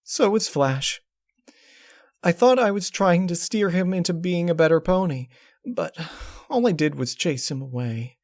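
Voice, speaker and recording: Guy doing a upset girl pony princess, pitched way up, pleading n controlling, storybook style. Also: expressive, unhurried, no room sound, studio quality. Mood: subdued, regretful. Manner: pleading, emotionally strained delivery. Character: distraught, controlling young female pony princess, tearful and critical. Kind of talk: dramatic storybook character reading.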